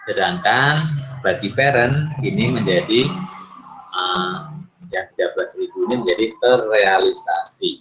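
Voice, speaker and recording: A man's voice: lowish voice, unhurried, a bit bright, a little hiss or hum. An Indonesian man giving a step-by-step recorded lecture in a didactic way, often asking questions and answering them himself. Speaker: accounting lecturer. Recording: muffled, lo-fi microphone sound.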